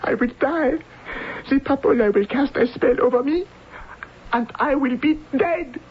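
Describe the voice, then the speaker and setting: A terrified, superstitious informant. The voice is high and slow, halting and whimpering with reluctance. It sounds like an old radio play, on a dull recording. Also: female voice, noisy background. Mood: whimpering, doomed. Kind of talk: vintage radio drama acting.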